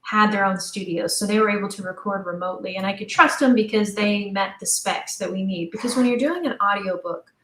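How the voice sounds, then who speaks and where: A woman's voice: mid-range voice, a little fast, few pauses, a bit bright. A woman with a mid-range voice talks as a guest in an interview. She explains things in a chatty, informal manner, working out her thoughts aloud. The sound is noticeably roomy, with echo around her words. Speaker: audio drama writer and director, interview guest.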